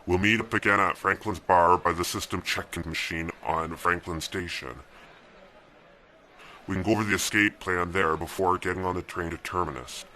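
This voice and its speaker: Low, forceful male lead, earnest and urgent, faint hiss. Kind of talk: amateur radio drama acting.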